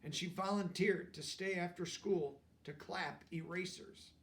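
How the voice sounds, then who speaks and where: A man's voice: high voice, measured pace, fairly bright tone, far from the mic. A man reading a picture book aloud expressively, with explanatory asides for young listeners.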